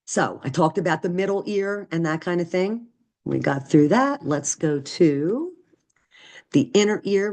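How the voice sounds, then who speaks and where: A woman with a deep voice giving a recorded nursing lecture, conversational and often checking in with "right?" and "okay?". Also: a little mellow. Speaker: nursing instructor.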